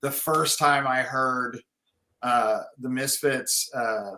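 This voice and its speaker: A man with a husky voice, a band frontman talking casually in an interview, rambling with many restarts. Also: medium pitch, fairly even intonation, slow, pushed and bright, roomy sound.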